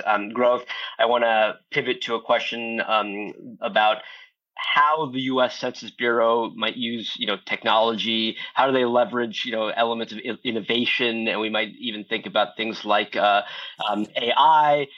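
A man's voice: on the low side, a bit bright. Hesitant male host from Miami, slightly muffled recording. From Miami, family from Ecuador. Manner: hesitant, many fillers. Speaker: podcast host. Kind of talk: interview questions.

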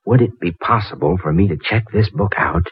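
Flustered young male inventor, very animated delivery, hesitant mutter, muffled vintage radio recording. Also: sits low, fairly quick, husky, heavily acted. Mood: flustered pleading. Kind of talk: 1940s radio drama acting.

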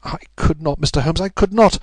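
A man's voice: a little high, a little mellow, husky, no room sound, voice pushed up. A man voicing a distraught, pleading upper-class married Englishwoman in a story, breathless and melodramatic. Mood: anguished confession. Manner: breathless, melodramatic, halting repetitions.

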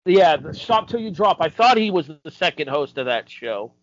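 High-pitched, lively man, dry dull recording. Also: moderate pace, dry recording. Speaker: podcast panelist. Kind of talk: casual banter.

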